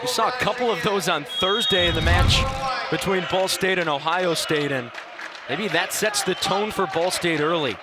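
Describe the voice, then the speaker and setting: A man calling live volleyball play-by-play as a TV announcer, getting excitable on big plays in an indoor arena. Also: high voice, moderate pace. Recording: loud crowd and arena noise. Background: crowd, bouncing balls, music.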